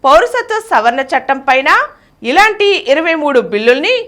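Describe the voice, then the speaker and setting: A woman's voice: sits high, very animated, normal speed, fairly bright tone. A woman reading a scripted news bulletin as the presenter. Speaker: news reader.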